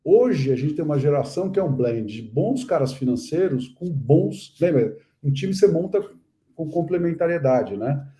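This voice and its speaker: A man's voice: fairly high pitch, lively intonation, normal speed, mellow. A middle-aged man from Brazil, a guest investor talking earnestly in a live interview, with many fillers and restarts. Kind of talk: casual live interview chat.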